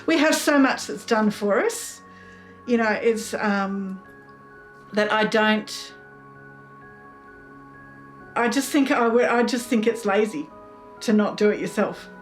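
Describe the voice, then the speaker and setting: A woman's voice: mid-range voice, slow, long pauses, soft background music. A woman giving frank, opinionated answers in an interview.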